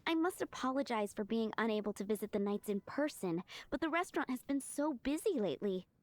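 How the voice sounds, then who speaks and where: A woman's voice: a little high, animated, fairly quick, close to an everyday voice. A woman playing a polite, earnest townswoman in an anime video game. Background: music.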